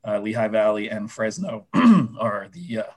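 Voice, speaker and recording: A man whose voice is going hoarse, chatting casually on a sports podcast with lots of fillers and restarts. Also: lowish voice, expressive, unhurried, a little mellow. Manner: rambling, many fillers and restarts. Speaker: minor league baseball writer guest.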